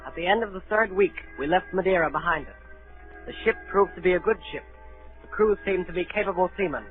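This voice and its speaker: Guy playing high voiced teen cabin boy, wistful storytelling, old 1930s radio drama sound. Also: quick pace, faint music behind, natural voice. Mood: earnest recounting. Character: earnest adolescent cabin boy recounting his first voyage. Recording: narrow-band 1938 radio broadcast.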